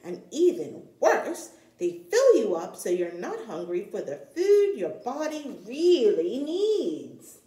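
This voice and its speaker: A woman's voice: a little high, wildly swooping pitch, takes it slowly, few pauses, mellow, echoey room, full character voice, poor audio. Storybook lady bear doctor, played by a woman reader: firm, reassuring, emphatic, with swooping intonation. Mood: earnest, instructive. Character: kindly, firm female bear doctor giving health advice.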